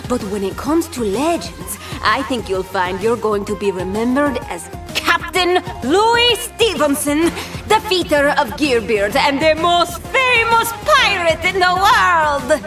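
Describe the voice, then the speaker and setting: A bold, feisty swashbuckling pirate woman in an audio drama. Her high voice swings widely as she talks in a jaunty, commanding way, with music behind her. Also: big pitch swings, measured pace. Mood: jovial. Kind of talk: scripted audio drama acting.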